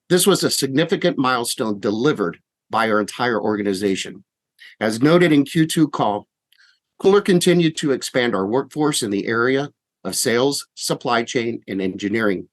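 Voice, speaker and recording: A man in the role of an operations executive, reading scripted earnings call remarks in a formal, measured manner. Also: mid-range voice.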